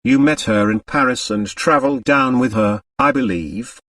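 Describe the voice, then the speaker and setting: A man's voice: medium pitch, moderate pace, a bit bright, no room sound, far from the mic, lightly acted. Man as a courteous, probing French magistrate. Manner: polite, hesitant, formal. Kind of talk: audiobook character dialogue.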